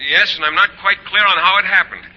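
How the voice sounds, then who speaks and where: A man's voice: high-pitched, steady tone, fast talker. An actor playing an earnest, bashful, oblivious male teacher in an old radio comedy, hesitant and stammering. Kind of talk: 1940s-50s radio comedy acting. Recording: muffled, hissy old radio recording.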